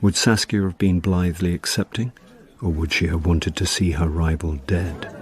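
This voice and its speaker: A man's voice: sits low, steady tone, normal speed, a faint crowd, natural voice. A man with a British accent acting in a radio drama as a dry, sardonic middle-aged amateur detective, partly narrating. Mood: wry narration. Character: wry middle-aged amateur sleuth, mildly prudish.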